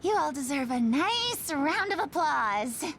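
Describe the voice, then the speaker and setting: Very high, playful menacing girlish villain. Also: big pitch swings, a bit bright, a little hiss or hum, full character voice. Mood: gleeful menace. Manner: taunting, drawn-out playful sing-song. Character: playful, menacing young female villain, mock-sweet and taunting. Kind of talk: dubbed anime-style video game acting.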